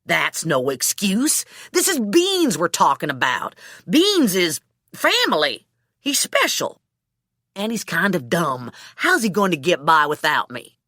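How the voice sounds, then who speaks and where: A woman's voice: fairly low pitch, wildly swooping pitch, unhurried, pushed and bright, no echo, studio quality, full character voice. A woman voicing a hot-headed, swearing man in an audiobook, blustering about his stolen dog. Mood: indignant. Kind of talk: audiobook character dialogue.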